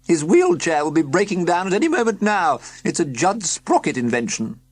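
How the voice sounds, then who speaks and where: A chattering, fussy eccentric aunt with a shrill voice pitched far up, as a husky-voiced reader puts her on, swinging wildly in tone. She grumbles and rattles on like an audiobook character in a children's story. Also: big pitch swings, moderate pace, dry recording. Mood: grumbling chatter. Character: cranky eccentric aunt, middle-aged woman, grumbling.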